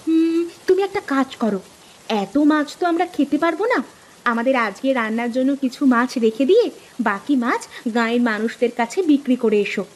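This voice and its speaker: A woman playing an earnest, practical village farmer's wife in an acted story, with expressive delivery. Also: high voice, fairly quick. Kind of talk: acted Bengali moral story dialogue.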